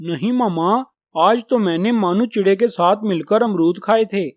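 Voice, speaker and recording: A man's voice: high-pitched, moderate pace, a little mellow, no room sound, clean studio sound, lightly acted. A man voicing a stubborn, greedy young male crow in a children's cartoon, with whiny, headstrong childlike talk. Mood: denying. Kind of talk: Hindi children's cartoon dubbing.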